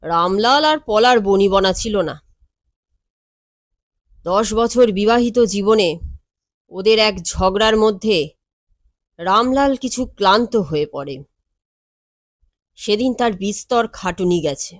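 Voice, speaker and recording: A knowing, world-weary lawyer from a storybook, read by a woman. He talks slowly and matter-of-factly with a wry edge, in a dry, close recording. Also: mid-range voice, dry recording, close to an everyday voice. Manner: measured, matter-of-fact, wry. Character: composed, knowing male lawyer, world-weary elder brother figure.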